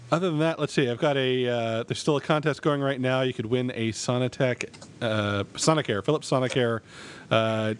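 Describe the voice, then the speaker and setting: Middle-aged man, informal podcast host, mid-range pitch, recorded with some low rumble in the background. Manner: informal, self-deprecating. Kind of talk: casual podcast hosting. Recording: clear background noise with rumble.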